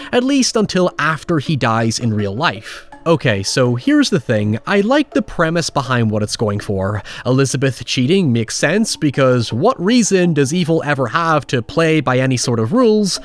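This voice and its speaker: A man narrating a scripted video essay as its host, giving his opinions with a wry, casually sweary manner. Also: medium pitch. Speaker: host of a film retrospective show.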